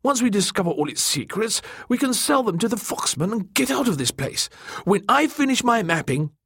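A small, plump mapmaker of a man, pitched far up into a squawky, flustered register. He is fussy, eager and stubbornly hopeful, a storybook character heard close and dry. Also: sing-song, normal speed, fairly bright tone, slightly rough, no room sound, exaggerated voice. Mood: stubborn, insistent. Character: small fat fussy excitable male mapmaker, stubbornly optimistic. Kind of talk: audiobook character dialogue.

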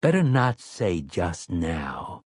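A man's voice: low-pitched, big pitch swings, slow, darkish tone, slightly rough, no room sound, clean studio sound, voice pushed down, exaggerated voice. A man doing a sly, folksy con man. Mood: sly, friendly. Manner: casual, folksy, conspiratorial. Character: wily grown man, sly and cheerful.

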